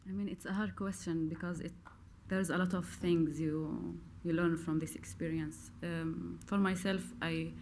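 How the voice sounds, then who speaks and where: A woman's voice: on the low side, steady tone, unhurried, hardly any pauses, a little mellow. A woman with a Syrian Arabic accent, answering reflectively at a public Q&A, hesitant and searching for words. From Golan Heights. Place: on stage at a cinema Q&A. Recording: clear background room noise.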